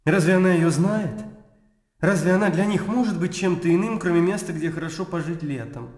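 An actor playing an earnest, scornful idealist intellectual of old Russia in a radio drama, speaking in a declamatory way. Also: male voice, high voice, brisk, darkish tone. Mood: impassioned, scornful. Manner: declamatory, impassioned speechifying. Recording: echoey hall-like reverb.

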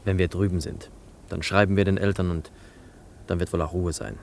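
Gentle, lowish young bridegroom. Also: male voice, fairly even intonation, fairly quick, gravelly, a little hiss or hum. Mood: reassuring. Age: young adult. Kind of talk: serious German radio drama acting.

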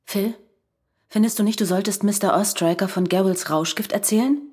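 A woman's voice: medium pitch, moderate pace, a little mellow, husky, close to an everyday voice. A woman playing a suspicious, reserved wife in a radio play, asking pointed questions. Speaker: radio drama actor.

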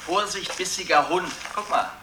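A man's voice: high voice, moderate pace, close to an everyday voice. An actor in a radio drama playing a desperate male drifter, theatrical, sarcastic and erratic. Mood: bitter. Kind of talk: German radio drama acting. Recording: distant, faint background noise.

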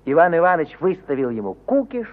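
A man's voice: fairly high pitch, very animated, quick pace. A man narrating a literary radio play in a theatrical, dramatic storytelling manner. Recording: tinny, narrow-band 1950 radio recording.

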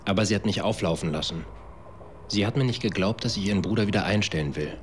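A man's voice: fairly low pitch, fast talker, a little hiss or hum, close to an everyday voice. An actor playing a brusque, cynical tech company man in a German radio drama, curt and sardonic. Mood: sardonic, defensive. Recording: music behind the voice.

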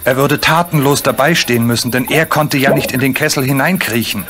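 A man's voice: mid-range voice, fairly quick, over loud music. A man narrating a children's radio play in German as a scripted storyteller. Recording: faintly noisy, cricket chirps behind.

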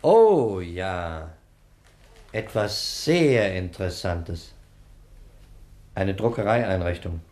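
Dry, low-voiced police inspector. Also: male voice, expressive, drawn-out words, long pauses, a little mellow, full character voice. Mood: curt, dry. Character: dry, sceptical male police inspector on a case. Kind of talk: 1960s German radio crime drama acting. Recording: 1960s radio recording, clearly noisy.

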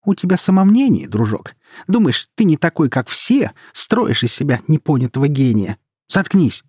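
Man acting a brash young woman, teasing big sister, taunting, lively swooping pitch, husky, storybook character. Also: dry recording, clean studio sound, exaggerated voice. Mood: angry, scolding. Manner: taunting, brash, quick to scold. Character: sharp-tongued, mocking young woman, teasing elder sister.

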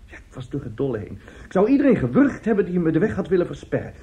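An actor in a radio drama playing a middle-aged, self-justifying seducer and family friend, speaking haltingly and emphatically. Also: male voice, on the high side, huge pitch swings, dark tone. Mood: uneasy confession. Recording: dull, muffled radio play recording.

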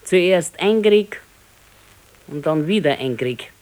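A woman's voice: on the low side, animated, slow pace, darkish tone, rough recording. An actress playing an old grandmother and grumbling, practical wife in a radio play, speaking tersely and dryly with a Bavarian accent. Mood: matter-of-fact. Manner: terse, matter-of-fact, dryly contradicting. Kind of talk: naturalistic radio play dialogue.

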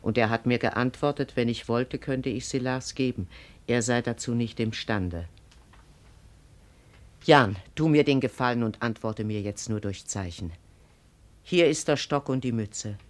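Grave, low-voiced older farm mistress. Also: female voice, a little slow. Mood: earnest, confiding. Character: grave, proud older farm mistress, widowed mother-in-law. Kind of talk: 1950s German radio drama acting.

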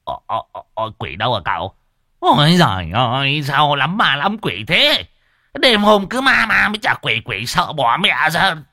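A man's voice: fairly low pitch, animated, fast talker, few pauses, sharp tone, dry recording, studio quality. A man voicing a cowardly, grumbling old man who stammers and whines. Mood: panicked. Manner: stammering, whining.

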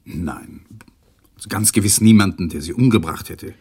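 An actor playing a tense widower who gives short, guarded answers in a 1980s radio drama. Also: male voice, sits low, unhurried, a little mellow, husky, exaggerated voice. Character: evasive, tense widower man.

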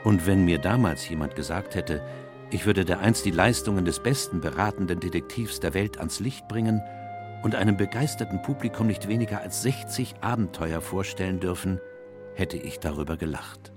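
An actor playing a reflective, loyal elderly doctor narrating his memoirs in a measured, literary way in a radio play. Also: low-pitched, natural voice. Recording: music under the voice.

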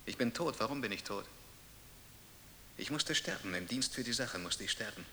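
An actor playing a bewildered, earnest would-be hero in a radio play, declaiming and brooding. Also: male voice, fairly low pitch, animated, moderate pace, bright, forceful tone. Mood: solemn. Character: bewildered man, self-proclaimed dead hero, earnest. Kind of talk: German radio play monologue and dialogue. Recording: long reverb, audible hiss.

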